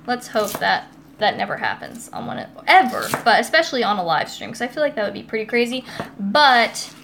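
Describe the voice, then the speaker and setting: Higher-voiced American woman, noisy kitchen stream. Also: very animated, brisk, fairly bright tone. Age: young adult. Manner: chatty, giggly, informal. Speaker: host of a cooking live stream. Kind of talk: casual chatty live stream, reading comments aloud. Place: indoors in a home kitchen. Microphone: phone or tablet, a short distance away.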